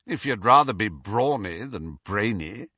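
A man's voice: lowish voice, animated, measured pace, sharp tone, husky, dry recording, muffled recording, heavily acted. A man voicing an eager, awkward young boy with a slight sneer in an audiobook story.

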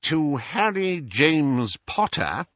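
A man voicing a stern, suspicious older government minister in an audiobook, with measured, insistent questioning. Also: fairly high pitch, big pitch swings, fairly bright tone, no room sound, lo-fi sound, pitched above the performer's own voice, full character voice. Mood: formal, stately. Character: stern, probing older male government minister interrogating youngsters. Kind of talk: audiobook character voice.

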